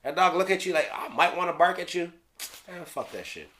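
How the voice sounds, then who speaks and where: A Jamaican man in his thirties casually explaining his own rap lyrics in a small room, playful and slangy, sometimes dropping to a hush. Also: sits high, lively intonation, fairly quick. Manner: playful, slangy, drops into hushed asides. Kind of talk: casual solo video commentary. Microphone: studio mic on stand.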